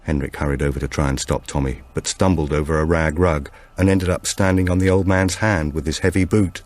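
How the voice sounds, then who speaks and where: A man's voice: rumbling low, steady tone, fairly quick, a little mellow. A man reading a suspense thriller as scripted audiobook narration.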